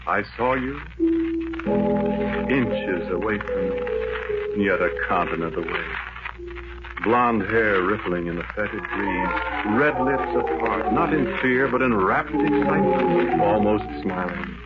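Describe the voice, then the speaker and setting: An actor playing a haunted, yearning man in a 1940s radio drama, speaking in halting, intense, poetic phrases. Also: on the low side, lively intonation, over loud music. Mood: intense, remembering. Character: haunted, obsessive lovelorn man, brooding and yearning. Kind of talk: 1940s radio acting, first-person dramatic monologue. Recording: muffled, very noisy old radio recording.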